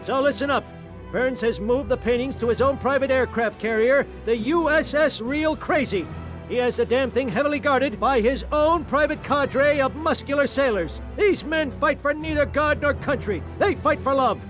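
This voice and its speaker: A man's voice: very high voice, moderate pace, a bit bright, soft background music. An actor playing a crotchety old grandfather giving wartime orders in a cartoon game cutscene. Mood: barking orders. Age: older. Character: cranky old grandpa barking war orders.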